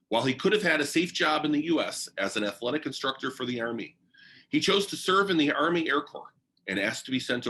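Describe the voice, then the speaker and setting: A man reading a biography aloud in an expressive storytelling manner. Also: medium pitch, low-quality recording. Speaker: reader of a biography. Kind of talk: read-aloud biography narration.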